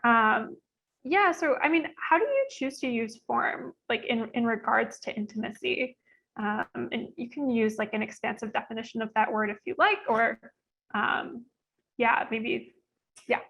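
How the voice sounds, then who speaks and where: A woman's voice: fairly high pitch, unhurried, gravelly, pushed and bright, rough recording. A woman moderating an informal Q&A, tentative and searching as she shapes her questions. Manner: tentative, searching, self-correcting. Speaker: moderator and poetry reader.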